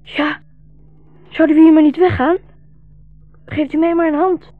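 An actress as a small, anxious boy in a 1960s radio play. The voice is very high and childlike, pleading and full of trusting devotion. It comes through a narrow, muffled old broadcast recording with little room sound. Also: fairly even intonation, a little slow, dry recording, faint music behind. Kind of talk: 1960s radio drama acting.

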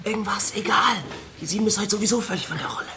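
A performer playing an evasive teenage schoolboy in a radio drama, halting, slangy and stammering. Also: medium pitch, heavy background noise, natural voice. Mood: nervous, evasive. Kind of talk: radio crime drama acting.

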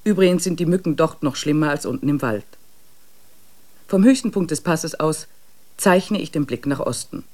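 A man playing a traveler who narrates his diary in a measured, reflective way in a radio play. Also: a little high, lively intonation, a little mellow.

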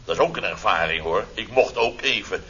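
A man's voice: lowish voice, a little fast, bright, forceful tone. An actor playing a blustering, sarcastic middle-aged contractor boss, telling his mishaps theatrically in a radio comedy serial. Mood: exasperated, ironic. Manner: theatrical, exclamatory, sarcastic storytelling. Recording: dull, noisy old radio recording.

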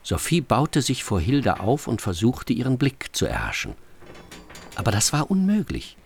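An actor playing a measured, vivid storybook narrator in a radio drama. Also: male voice, medium pitch, huge pitch swings, vocal fry, a little hiss or hum. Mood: narrating.

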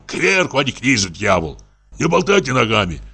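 A man voicing a gruff, cheeky peasant carpenter with a folksy, grumbling manner in a story. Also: medium pitch, sing-song, a little fast, bright, forceful tone, voice pushed up, full character voice. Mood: haggling, grumbling.

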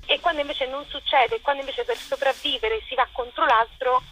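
A woman being interviewed as an actress and writer, explaining thoughtfully and haltingly as she searches for words, over a lo-fi phone-like line. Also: high voice, steady tone, a bit bright. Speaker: actress and co-author interviewed about her play. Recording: muffled lo-fi phone-like line with noise.

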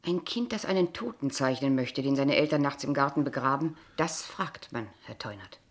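A kindergarten teacher in a radio drama. Her voice sits low for a woman, and she speaks with formal, measured courtesy. Underneath the politeness she is pointed and quietly persistent. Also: animated. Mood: insistent, probing. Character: polite, insistent, probing kindergarten teacher, a young unmarried woman. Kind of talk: German radio play dialogue acting.

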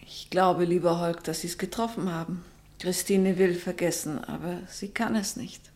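A woman's voice: on the low side, fairly even intonation, a little mellow, natural voice. An actress playing a genteel lady companion and worried confidante, speaking carefully and formally in a German radio drama. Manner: measured, careful, formal and literary speech. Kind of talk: German radio play acting.

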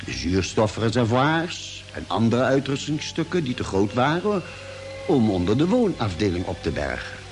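Actor as a expressive expedition narrator, old radio drama. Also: male voice, mid-range voice, normal speed, music in the background, natural voice. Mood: measured, grave. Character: male space expedition member recounting a Mars journey.

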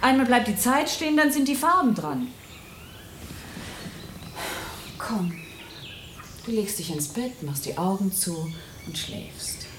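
A woman's voice: medium pitch, big pitch swings, unhurried, noisy background. An actress playing a weary, exasperated woman impatient with her brooding partner in a German radio play. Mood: annoyed.

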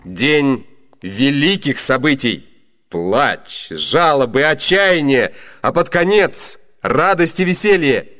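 An actor playing an ardent, lordly gentleman and master in a classic comedy radio play, with polished, declamatory delivery. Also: medium pitch, expressive, slow pace. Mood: ardent, reflective. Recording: reverberant 1980s Soviet radio studio.

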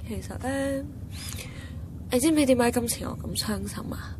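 A woman's voice: fairly high pitch, normal speed, background noise, close to an everyday voice. An actress playing a heartbroken, tipsy young woman with a Hong Kong Cantonese accent, petulant and emotional, in a radio drama. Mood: wistful. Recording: music behind the dialogue.

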